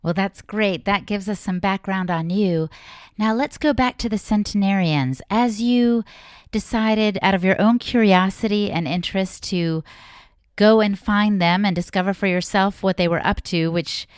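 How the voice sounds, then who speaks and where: A woman hosts a podcast interview and asks clear, engaged questions. Her voice sits at a middle pitch. The recording is dry, with no room sound. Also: normal speed, no echo. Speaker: podcast host.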